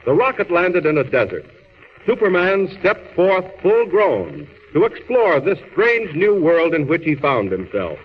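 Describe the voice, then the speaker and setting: A man's voice: fairly high pitch, sing-song, a little slow. A man narrating a superhero radio serial in a grand, declamatory 1930s style. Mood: grand, dramatic. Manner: theatrical, declamatory, crisp phrasing. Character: grand, urgent male storyteller of a superhero serial. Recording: dull, hissy old radio recording.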